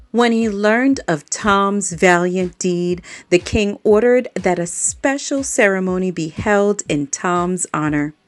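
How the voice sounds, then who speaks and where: A woman telling a children's bedtime story aloud in an expressive way, adding playful sound effects. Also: mid-range voice, no echo.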